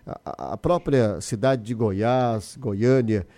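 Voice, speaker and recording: Relaxed man hosting a radio interview. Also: lowish voice, takes it slowly. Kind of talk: live broadcast news interview.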